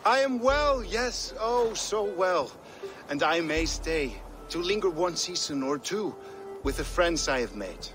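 A man's voice: sits high, animated, unhurried, music in the background. A man playing a jubilant, boastful Norse raider in a game, theatrical and grandiose in his delivery. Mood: contented. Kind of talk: dramatic game cutscene acting.

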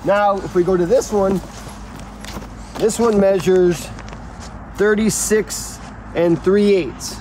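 High-voiced joking builder man outdoors. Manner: joking, slangy, animated. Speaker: builder and video co-host. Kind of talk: casual on-site explaining and banter. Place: outdoors on a backyard building site. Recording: noisy outdoor site sound.

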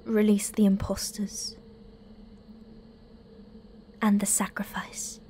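An actress playing a fanatical, imperious mother superior in an audio drama, solemn and often hissing in a breathy whisper. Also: medium pitch, completely flat delivery, slow, dark tone, natural voice. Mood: stern. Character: menacing female cult leader, a fanatical mother superior.

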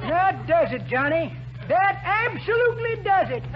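A man's voice: very high voice, very animated, moderate pace, a loud crowd. An actor playing a cranky, folksy old American man in 1940s radio comedy, with an emphatic drawling delivery. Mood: fed up, exasperated. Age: older. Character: cranky, folksy old man, a cackling teller of stale jokes. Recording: muffled, faintly noisy 1940s radio broadcast.

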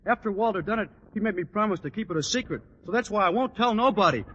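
An actor playing a dim-witted, good-natured teenage football player in a 1940s radio sitcom, earnest and ungrammatical. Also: male voice, sits high, animated, quick pace. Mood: earnest, blundering. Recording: muffled, very noisy old radio recording.

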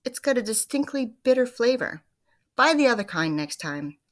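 Here is a grumpy old man from a children's storybook, loudly grumbling about his dinner, as a woman teacher puts him on. He complains in a raised, cranky voice. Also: fairly high pitch, very animated, moderate pace, voice pushed up.

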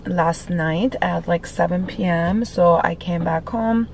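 A young woman with a slightly raspy, tired voice, chatting casually to a dashboard camera in a parked car, rambling with lots of fillers. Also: on the low side, slow, a little mellow, slight background noise. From Los Angeles area. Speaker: vlogger. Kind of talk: casual vlog chat.

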